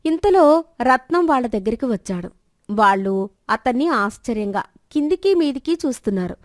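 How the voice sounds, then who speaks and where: A woman's voice: a little high, expressive, moderate pace, dry recording, clean studio sound. A woman narrating a scripted moral story.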